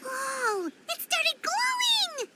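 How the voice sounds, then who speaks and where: Casting: very high-pitched, childlike game sidekick creature, chirpy and bouncy in intonation, music behind. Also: female voice, very animated, drawn-out words, background noise, exaggerated voice. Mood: excited. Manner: chirpy, exclamatory. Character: small excitable childlike floating companion creature. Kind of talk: video game voice acting.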